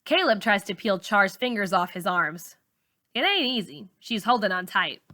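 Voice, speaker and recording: A woman teacher reading a novel aloud, with expressive, deliberate storybook narration. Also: medium pitch.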